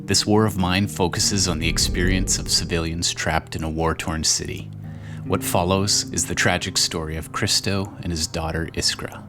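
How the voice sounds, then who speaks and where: A man giving solemn, measured, scripted dramatic narration of a game's story. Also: low voice, fairly even intonation, background noise.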